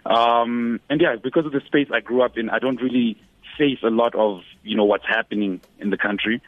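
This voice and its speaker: A man's voice: medium pitch, fairly quick, no room sound, narrow, tinny audio. Radio guest, man, 30s, from Zimbabwe, easygoing and rambling, dry studio sound. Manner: relaxed, many fillers and restarts. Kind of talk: casual interview.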